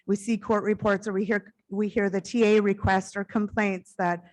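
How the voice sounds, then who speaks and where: A middle-aged woman with a loud voice giving an earnest, heartfelt conference address into a stage microphone, sometimes speeding up in quick bursts. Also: medium pitch, flat delivery.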